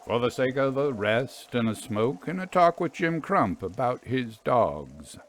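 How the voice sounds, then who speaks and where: A man voicing a breezy young gentleman angler in a storybook, grumbling and pompously mock-grandiose. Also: mid-range voice, big pitch swings, measured pace, slight background noise.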